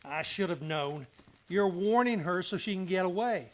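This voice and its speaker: Man acting a high-voiced, loyal cowboy. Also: lively intonation, normal speed, slight background noise. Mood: bitter. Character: Clancy, loyal, plainspoken cowboy, devoted husband. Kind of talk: western radio drama acting.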